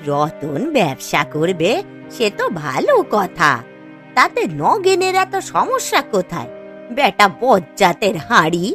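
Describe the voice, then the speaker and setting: Fussing cartoon village wife, swoopy pitch, soft music behind. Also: female voice, wildly swooping pitch, a little slow. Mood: concerned. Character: concerned village wife, plain-spoken and soothing. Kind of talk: cartoon dialogue acting.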